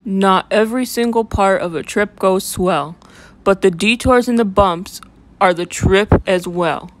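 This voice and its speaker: A woman's voice: medium pitch, unhurried, pitched above the performer's own voice, natural voice. A woman voicing an earnest travel-loving fish in rhythmic rhyme for a children's storybook. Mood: content. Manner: earnest, rhythmic rhyming delivery.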